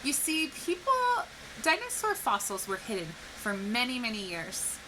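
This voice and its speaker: A woman speaking like a teacher to young children, explaining things clearly and posing questions in an educational read-aloud. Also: fairly high pitch, very animated, normal speed, noisy background. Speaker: teacher reading a picture book to children.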